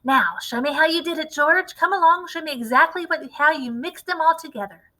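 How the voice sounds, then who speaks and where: Woman voicing a wildly excited, high-pitched bossy dad. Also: brisk, rough recording. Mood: bossy, eager. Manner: exclamatory, bossy, emphatic. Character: wildly excited, impatient, domineering farmer father, adult man. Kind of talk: storybook character read-aloud.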